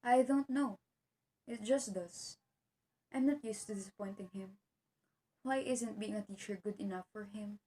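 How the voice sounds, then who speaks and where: A woman's voice: medium pitch, normal speed, pauses often, mellow, close to an everyday voice, poor audio. A woman acting an earnest, determined young teacher in an amateur stage play. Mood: troubled.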